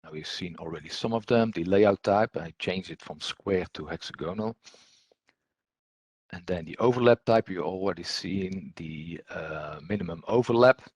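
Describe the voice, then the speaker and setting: A man giving an unscripted software tutorial as instructor, speaking haltingly and hesitantly, often repeating words. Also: low-pitched, husky, no room sound.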